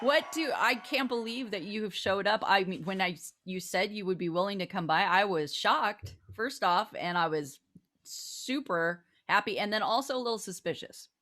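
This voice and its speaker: A woman co-hosting a talk show in lively, casual chat with a bubbly, gushing manner. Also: medium pitch, moderate pace.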